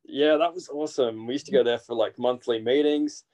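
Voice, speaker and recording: A man's voice: medium pitch, a little fast. Australian man, 30s, laid-back interview guest on a laptop mic, loose and chatty. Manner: relaxed, rambling with frequent fillers and restarts. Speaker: guest, naturalist guide. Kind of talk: casual interview over video call. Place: small indoor room. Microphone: video call, built-in device mic.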